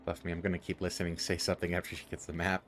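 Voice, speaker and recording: Very low-voiced teasing man. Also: completely flat delivery, racing, a bit bright, faint music behind. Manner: teasing, laughs often. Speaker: co-host watching the stream. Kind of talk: casual commentary.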